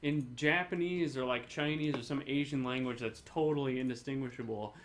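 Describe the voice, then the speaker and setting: A man's voice: medium pitch, fairly quick. A man in his thirties chatting casually and jokily as a gaming co-host, swearing now and then. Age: 30s. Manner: sweary, jokey. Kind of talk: casual joking banter.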